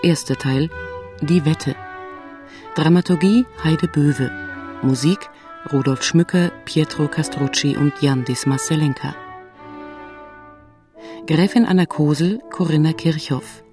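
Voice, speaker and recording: A woman's voice: low-pitched, slow pace, pauses often. A woman announcer reading scripted radio drama credits in a formal way. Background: brass music.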